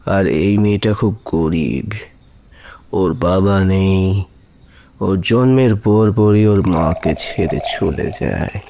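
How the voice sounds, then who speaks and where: Scheming elderly male professor, deep and slow, coldly persuasive, for suspense audio drama. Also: warm tone, a little hiss or hum. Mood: cold, calculating. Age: older. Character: aging, scheming professor who procures girls for traffickers. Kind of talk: Bengali audio drama acting.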